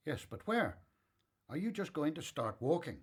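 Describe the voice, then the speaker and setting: Man playing a gentle elderly father, expressive. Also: on the high side, normal speed, husky, exaggerated voice. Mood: thoughtful, advising. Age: older. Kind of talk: radio Bible drama acting.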